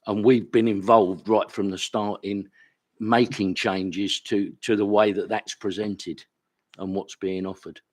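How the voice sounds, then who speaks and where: A British man with a very deep, loud voice addresses a council meeting as a resident. He talks plainly and stumbles over a word now and then. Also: steady tone, a little slow. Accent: British English. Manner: plain-spoken, repeats words. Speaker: local resident speaking at a meeting.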